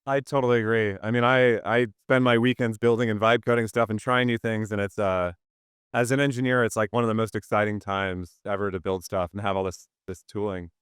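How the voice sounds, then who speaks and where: A man's voice: fairly low pitch, fairly even intonation, a little fast, a bit bright, smooth, no echo, studio quality. A man hosting a casual interview, speaking in an informal, agreeable way. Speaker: interview host.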